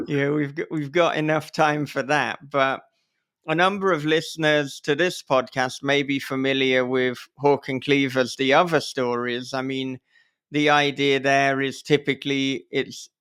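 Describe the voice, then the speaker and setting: A man's voice: a little high, a bit bright, clean tone. A man hosting a podcast, interviewing in a casual, chatty and slightly wry way.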